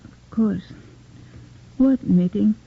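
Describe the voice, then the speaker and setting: An actress playing a grieving young widow, quiet and firm, in 1940s radio acting. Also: female voice, mid-range voice, slow, creaky, breathy edge, heavily acted. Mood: gentle. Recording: tinny old radio recording.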